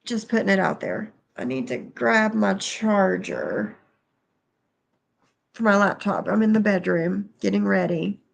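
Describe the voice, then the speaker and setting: A woman's voice: medium pitch, measured pace, gravelly. A middle-aged woman with a stuffy nose, chatting casually on a home livestream and greeting viewers by name. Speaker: livestream host. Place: bedroom at home, then kitchen.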